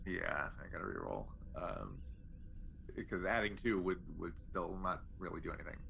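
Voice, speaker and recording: A man with a slightly low, bright and forceful voice chats casually as a tabletop game player. His manner is wry and joking, and there is faint noise behind him. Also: sing-song, moderate pace, creaky.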